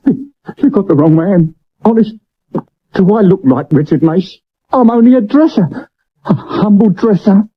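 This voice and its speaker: A man's voice: high voice, lively intonation, measured pace, slightly rough, exaggerated voice. A man acting a nervous, loyal Victorian theatre dresser in a 1970s radio drama, anxious and pleading, with an English accent. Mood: frightened. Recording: dull lo-fi vintage radio recording.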